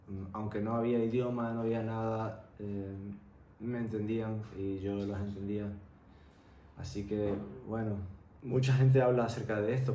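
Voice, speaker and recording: A young man telling a candid personal story in a reflective, halting way. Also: lowish voice, mellow. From Venezuela-born, grew up in Tenerife, Spain. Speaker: vlogger. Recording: roomy echo, faint background noise.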